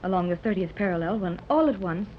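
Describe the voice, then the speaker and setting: A woman acting an earnest, well-to-do mother in a 1930s radio adventure serial. She speaks clearly and hopefully in a medium-pitched voice. The recording is old and dull, with faint noise. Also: animated, fast talker, lightly acted. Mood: earnest recounting. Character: earnest, hopeful wealthy mother seeking lost daughter.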